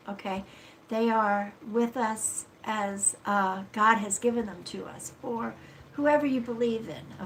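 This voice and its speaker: A woman with a stuffy nose giving a live card reading, speaking in a slow, soothing, reassuring way and often checking in with "okay?" and "all right?". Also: mid-range voice, fairly bright tone, a little hiss or hum, low-quality recording. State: stuffy-nosed. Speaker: psychic card reader.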